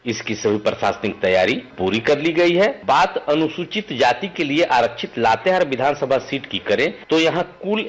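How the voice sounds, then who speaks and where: A man's voice: mid-range voice, slightly rough. A man delivering a scripted news report as a radio correspondent.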